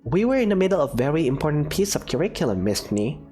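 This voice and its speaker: A woman's voice: low voice, very animated, quick pace, warm tone, faint music behind. A woman voicing a gracious female professor who speaks in an encouraging, lilting way. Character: warm, genteel female professor, expecting mother.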